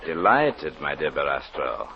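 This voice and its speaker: On a dull, hissy old radio recording, a deep-voiced, suave villain. He flatters in florid, courtly phrases, his pitch swinging widely, in the style of 1940s radio drama. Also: a little slow, gravelly, full character voice. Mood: courtly, ingratiating. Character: suave, flattering male charmer with hidden menace.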